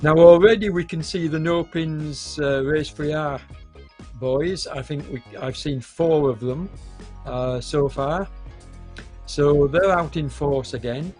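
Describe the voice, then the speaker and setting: A man with a cold commentating a live esports cycling race, hesitant and full of fillers, with a hoarse voice and occasional coughs. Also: fairly high pitch, a little mellow, faint music behind. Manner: hesitant, many fillers, unhurried.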